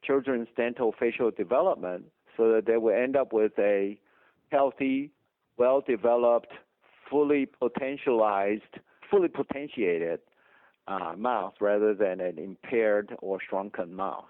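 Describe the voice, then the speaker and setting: Taiwanese guy dentist, emphatic explainer, low-ish voice, phone-call sound. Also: a little slow, no echo. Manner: emphatic, explanatory, chuckles often. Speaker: dentist guest. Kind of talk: interview conversation. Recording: dry tinny phone line.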